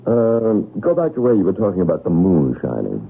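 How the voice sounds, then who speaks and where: An actor playing an older, courtly professor and doting husband in a radio drama, gravelly and halting, with exclamatory turns. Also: male voice, low voice, very animated, faint music behind, heavily acted. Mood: attentive. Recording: tinny, narrowband old radio broadcast.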